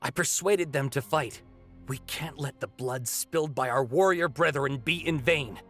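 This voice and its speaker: A man's voice: mid-range voice, moderate pace, fairly bright tone, close to an everyday voice. An actor playing a young male villager in a video game, a brave but untested volunteer who speaks earnestly and with determination. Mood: firm resolve. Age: young adult. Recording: game music behind the voice.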